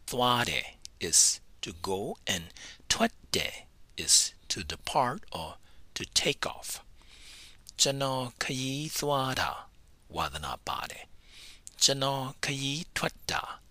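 A man teaching a beginner language lesson, repeating each phrase slowly and letting his phrase endings fall away breathily. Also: mid-range voice, bright, forceful tone, dry recording. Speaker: language instructor. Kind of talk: slow, deliberate language lesson narration.

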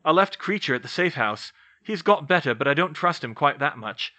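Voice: mid-range voice, lively intonation, normal speed, pushed and bright, lo-fi sound, pitched above the performer's own voice. A narrator voicing a wry adult male wizard with a casual, chatty manner, in a fantasy audiobook.

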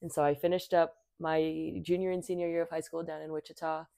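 A woman's voice: sits low, flat delivery, brisk, gravelly, dark tone, dry recording. A woman in her twenties, born in Thailand, chatting as a podcast guest, with many fillers and restarts. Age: 20s. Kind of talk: casual conversation.

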